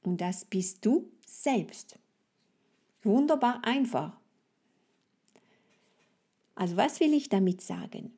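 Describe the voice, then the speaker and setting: A woman with a French accent leads a podcast language lesson at an unhurried, slow pace. Her voice is soft-edged and mellow, with some huskiness. She explains things deliberately, addressing the listener directly as a coach would. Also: mid-range voice, breathy edge.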